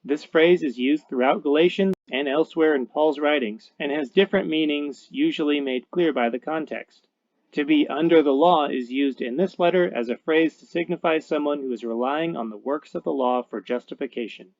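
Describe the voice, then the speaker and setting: Man reading a steady teaching script. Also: on the high side, normal speed, no room sound, highs cut off.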